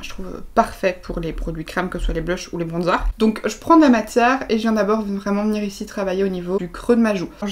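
A young woman chatting her way through a makeup tutorial outdoors, rambling with lots of fillers and restarts. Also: medium pitch. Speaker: beauty vlogger. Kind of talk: casual makeup tutorial chat. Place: outdoors in front of a hedge.

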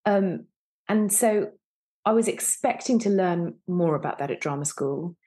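A woman in her thirties with a voice a little lower than average talks casually as a podcast guest. She thinks aloud, reshaping her phrases as she goes, over a video call. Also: unhurried, warm tone. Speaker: guest, actor. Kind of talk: casual interview conversation. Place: indoor room, on a video call.

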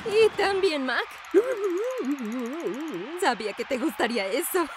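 A woman's voice: high-pitched, very animated, unhurried. A woman voicing an upbeat, dramatic female football commentator in a cartoon. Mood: teasing. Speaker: sports commentator. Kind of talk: animated sports commentary. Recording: loud music under the voice.

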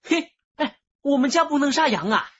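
A man's voice: very high-pitched, animated, moderate pace, fairly bright tone, dull audio. An actor playing a brash, slippery streetwise teenage boy in a radio drama, cocky and wheedling.

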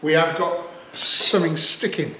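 A man's voice: a little high, fairly even intonation, a little slow, sharp tone, reverberant, slight background noise, poor audio. A man chatting casually and joking as he checks over an old car in his garage workshop. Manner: chatty, jokey, thinking aloud. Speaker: car restoration vlogger.

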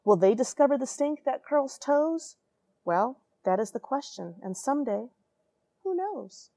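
A woman's voice: high voice, very animated, measured pace, dark tone, dry recording. An American woman in her forties reading a children's storybook expressively, with dramatic emphasis and friendly asides to young listeners. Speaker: astronaut reading a children's picture book. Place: small equipment-filled space station airlock.

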